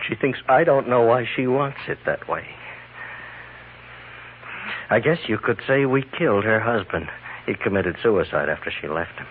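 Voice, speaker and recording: An actor playing a jealous, tormented, bitter husband on the run in a 1950s radio western. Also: male voice, fairly low pitch. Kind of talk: 1950s radio drama acting. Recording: muffled, noisy 1950s radio recording.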